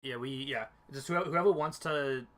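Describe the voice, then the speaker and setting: A man's voice: medium pitch, moderate pace, fairly bright tone. A man hosting a group game stream in casual, conversational chat.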